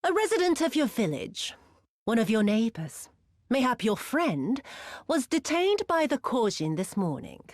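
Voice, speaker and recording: A cruel imperial viceroy woman in a video game, theatrical and haughty. Her high voice swings widely as she drawls with mocking sweetness, recorded close and dry. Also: very animated, unhurried, dry recording. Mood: menacing, taunting. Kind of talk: video game cutscene acting.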